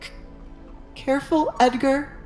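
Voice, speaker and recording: Lady doing a scared older baron guy, voice pushed high, stammery n placating, romance drama, soft music. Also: measured pace. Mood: frightened stammering. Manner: stammering, nervous. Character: cowardly middle-aged baron, nervous, stammering, placating. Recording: music under the voice.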